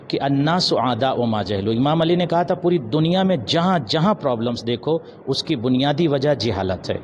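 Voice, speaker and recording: A man answering an interview in a measured, formal, preaching manner, pausing and mixing in English words. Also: mid-range voice. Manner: measured, formal Urdu-laced diction with pauses and some English words. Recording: noisy outdoor urban street recording with traffic. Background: loud street ambience and vehicles.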